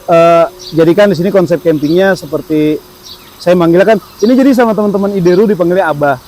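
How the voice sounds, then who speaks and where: A man's voice: high-pitched, darkish tone, slight background noise. A man, hosting a casual chat at an outdoor campsite in a friendly, joking way. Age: 30s. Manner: friendly, joking, quick to agree. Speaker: campsite host.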